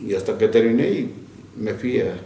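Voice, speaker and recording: An older man from Mexico, a retired teacher, recalling his childhood in an interview, reflective and often laughing, with halting restarts. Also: on the low side, animated, roomy sound, noisy background. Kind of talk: recounting childhood memories.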